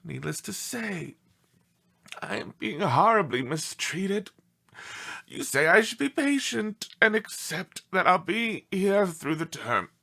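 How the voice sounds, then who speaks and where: A man plays a melodramatic storybook dog, indignant and sorry for himself. The delivery swings widely in pitch, slow and drawn out, with a bright, forceful edge. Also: very animated, gravelly, exaggerated voice. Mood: indignant, hurt. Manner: theatrical, indignant, self-pitying. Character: aggrieved melodramatic pet dog writing pleading letters home. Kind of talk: expressive storybook read-aloud.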